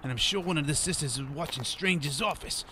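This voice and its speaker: An actor playing a nervous, shifty streetwise informant on the run in a radio drama. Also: male voice, fairly high pitch, brisk, noisy background, lightly acted. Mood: anxious. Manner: jittery, streetwise. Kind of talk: radio drama monologue and dialogue.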